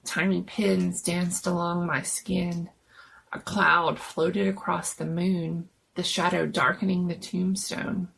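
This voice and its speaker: A woman's voice: on the low side, little pitch movement, takes it slowly, creaky, fairly bright tone, a little hiss or hum, poor audio. A woman giving a dramatic, suspenseful scripted narration of a scary story.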